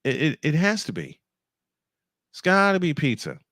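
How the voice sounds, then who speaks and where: A man's voice: on the high side, huge pitch swings, moderate pace, a bit bright, dry recording, studio quality. A man doing live baseball play-by-play on a stream, mixing in casual chat and rambling as he thinks aloud.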